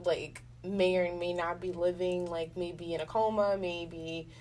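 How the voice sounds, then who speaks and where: A woman's voice: fairly low pitch, level tone, measured pace, darkish tone. A woman in a casual, reflective interview, speaking in a slangy, meandering way as the guest. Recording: distant mic, faint background noise.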